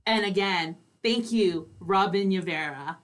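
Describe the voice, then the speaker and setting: A woman's voice: medium pitch, measured pace. A woman hosting an online radio interview as a DJ. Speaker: radio DJ host.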